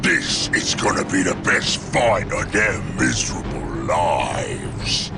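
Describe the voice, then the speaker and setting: A brutish ork warboss from a video game, growls in a very deep, gravelly voice. He is blunt, boastful and hungry for battle, swinging wildly in pitch. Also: big pitch swings, takes it slowly, loud music behind the voice, heavily acted. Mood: gleeful, bloodthirsty. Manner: blunt, belligerent. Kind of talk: video game cutscene acting.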